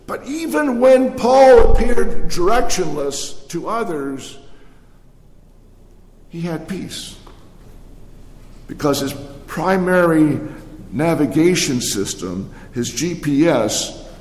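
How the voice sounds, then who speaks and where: An older man speaking as a pastor, giving a folksy, conversational sermon with halting, repeated words in a large reverberant hall. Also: a little high, animated, darkish tone. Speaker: pastor preaching. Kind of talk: sermon with folksy personal storytelling. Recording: echoey hall with long reverb.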